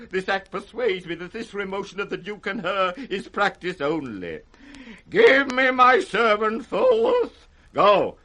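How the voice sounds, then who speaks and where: A man's voice: high-pitched, pushed and bright, heavily acted. An actor playing an aging, proud, wrathful king in classic radio Shakespeare, grand and volatile. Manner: grand, volatile, swinging from thunder to broken murmurs. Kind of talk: 1960s British radio Shakespeare acting.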